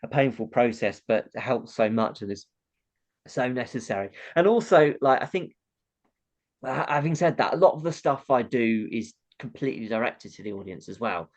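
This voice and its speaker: A man with a noticeably nasal voice, talking as a comedian guest in a relaxed interview. His speech sits at an ordinary middle pitch, and he chats freely, laughs easily and slips into little acted-out audience reactions. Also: moderate pace. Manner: chatty, laughs often, acts out audience reactions. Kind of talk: casual interview chat.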